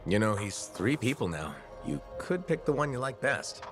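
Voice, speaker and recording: A man's voice: mid-range voice, very animated, moderate pace, faint music behind, close to an everyday voice. A man playing a plain-spoken, wry and empathetic mercenary hero in a video game, talking in a casual, conversational way. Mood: wry, teasing. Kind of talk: video game dialogue acting.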